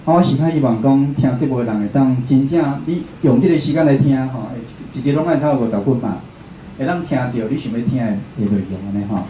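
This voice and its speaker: A man with a Taiwanese accent gives an informal talk in a roomy, echoing space with faint noise behind him. His voice is slightly mellow and mid-pitched, and he explains things conversationally, often checking that listeners follow. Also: fast talker. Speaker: radio host and station director giving a talk. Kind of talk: informal public lecture. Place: indoor room at a public talk.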